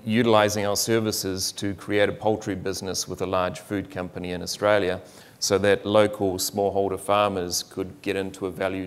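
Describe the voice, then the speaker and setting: A middle-aged man speaking as a panelist at a conference, explaining his organization in a measured way and listing examples. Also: low-pitched. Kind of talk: panel discussion answer. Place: conference room.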